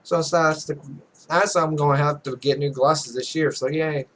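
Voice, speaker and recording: Casual male let's play host, slightly high voice, rambling and muttering between bits of reading. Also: fairly even intonation, normal speed, a bit bright, a little hiss or hum. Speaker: host of a gaming video. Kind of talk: casual let's play, reading visual novel text aloud.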